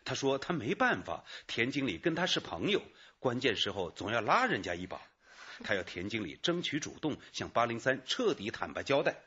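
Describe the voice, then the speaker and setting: A raspy, eager junior detective. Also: male voice, mid-range voice, big pitch swings, normal speed, sharp tone, highs cut off, exaggerated voice. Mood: earnest, reporting. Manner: earnest, explanatory. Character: eager male junior detective reporting to his chief. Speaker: actor in a police radio drama. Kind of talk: Chinese radio drama acting.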